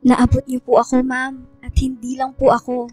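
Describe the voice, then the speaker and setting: Woman acting a shy schoolgirl, high voice, polite, sincere, devoted, radio drama dialogue, dry sound, faint music behind. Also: monotone, normal speed, breathy edge, dry recording. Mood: sincere, grateful. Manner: respectful, sincere, gentle phrasing. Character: shy, polite, devoted teenage girl student.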